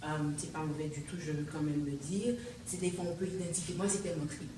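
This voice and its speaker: A woman's voice: sits low, fairly even intonation, fast talker. A woman from Haiti with a Haitian French accent, hosting a conversational interview in a chatty, rambling way, often reacting with interjections. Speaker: host. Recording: distant mic in echoey room with background noise.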